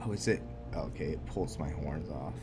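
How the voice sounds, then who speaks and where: A man giving casual game commentary, explaining lore and thinking aloud in a halting way. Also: extremely low pitch. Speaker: gamer narrating his roleplay playthrough. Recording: music behind the voice. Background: game music and game characters.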